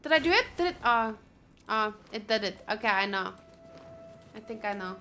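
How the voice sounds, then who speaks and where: A woman streaming a video game, thinking aloud in a casual, chatty and expressive way. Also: mid-range voice, normal speed, soft background music. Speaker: gaming streamer.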